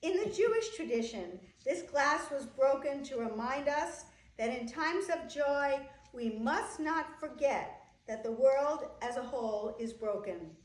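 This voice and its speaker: A woman playing a solemn, benevolent wedding officiant in a staged reading, speaking formally and in a measured way. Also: high-pitched. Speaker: actor. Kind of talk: staged play reading, ceremonial. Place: echoey room, live staged reading. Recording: echoey room, distant microphone.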